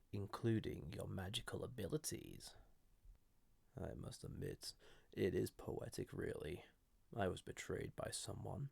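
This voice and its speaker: A man playing a flirty, dangerous ancient villain entity in an intimate audio roleplay, with a gravelly, husky voice and deliberate delivery. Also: deep voice, dry recording, exaggerated voice. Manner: slow, deliberate, intimate, with pauses. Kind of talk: intimate scripted audio roleplay addressed to listener.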